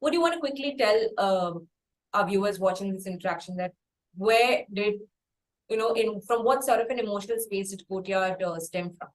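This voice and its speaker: A woman's voice: medium pitch, moderate pace, poor audio. A woman with an Indian accent, asking polite, wordy questions as an interview host.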